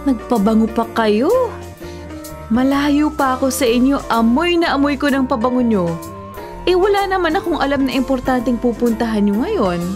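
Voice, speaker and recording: An actress playing a disapproving grown daughter in a Filipino radio drama, chiding and exasperated in manner. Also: female voice, sits high, normal speed, music in the background, lightly acted. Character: nagging, disapproving grown daughter scolding her father.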